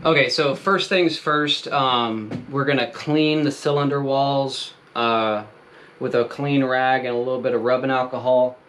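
A man's voice: medium pitch, fairly even intonation, a little slow, fairly bright tone. A man in his thirties, talking casually and rambling with many fillers as he explains hands-on repair work in a small wooden workshop, recorded on the camera mic. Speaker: DIY mechanic vlogger. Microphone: camera mic while working.

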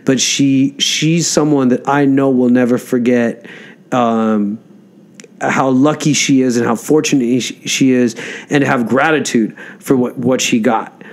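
A male comedian hosts a podcast. His voice sits at an average pitch, and he tells stories in a casual way, circling back over phrases to stress them. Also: fairly even intonation, measured pace. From Egypt until age eight, then America. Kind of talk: casual conversational storytelling.

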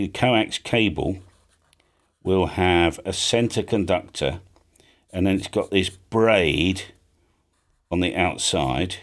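A middle-aged man with a low, deep voice presents an informal tutorial. He explains things step by step in a conversational manner, often adding little tag questions to check the listener follows. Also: fairly bright tone. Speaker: amateur radio video host. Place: small home studio with drums and guitars.

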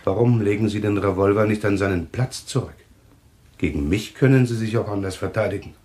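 An actor playing a fatalistic male clairvoyant in a German radio thriller, speaking in a solemn, halting, insistent way. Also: on the low side, darkish tone, close to an everyday voice. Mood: calmly insistent. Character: fatalistic male clairvoyant foretelling his own death.

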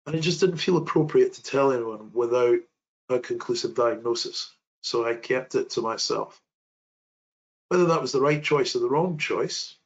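A man's voice: mid-range voice, dull audio. A British man in his thirties presenting a webinar from his home office, telling a personal story in an earnest, reflective way with some hesitations. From United Kingdom. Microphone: video call webcam.

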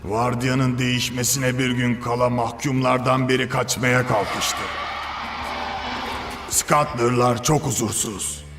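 A man playing a grim, uneasy prison guard in a cartoon dub, with a gravelly voice. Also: mid-range voice, level tone, slow, over music, lightly acted. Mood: grim, warning. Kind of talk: dubbed cartoon acting.

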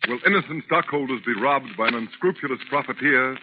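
A man's voice: medium pitch, brisk. A man announcing a scripted 1930s radio program in a dramatic, emphatic way. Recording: muffled 1930s radio recording with music.

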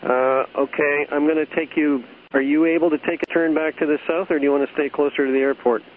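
A man's voice: fairly high pitch, steady tone, quick pace. Tinny radio, male air traffic controller. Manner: procedural, matter-of-fact. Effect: radio transmission. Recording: tinny archived radio with light static.

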